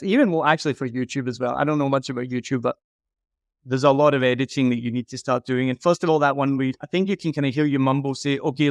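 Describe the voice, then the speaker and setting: A man's voice: mid-range voice, clear, resonant tone, no room sound. A man giving frank, emphatic advice in a conversational critique, like an audio consultant reviewing someone's work.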